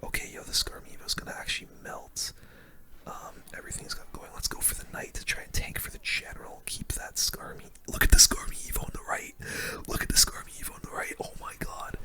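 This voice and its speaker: A young man whispering breathy, casual, slangy game commentary in an ASMR style, close to the microphone. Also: fairly quick. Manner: casual, slangy, reacting to play. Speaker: gaming video host.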